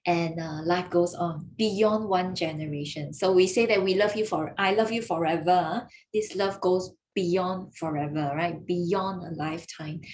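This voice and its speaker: A woman's voice: fairly low pitch, unhurried, few pauses, darkish tone, a little hiss or hum. A woman from Malaysia, a gemologist hosting a webinar in a chatty, teasing way with frequent laughs, speaking over a video call. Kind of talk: casual webinar talk.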